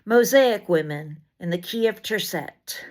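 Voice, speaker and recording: A woman reciting spoken-word poetry in deliberate short phrases with dramatic emphasis, as a poet reading another writer's work. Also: fairly low pitch, animated.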